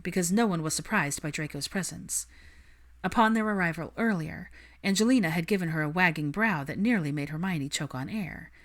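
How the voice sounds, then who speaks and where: Woman narrating fiction in a lowish voice. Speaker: audiobook-style narrator of fan fiction. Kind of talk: scripted story narration.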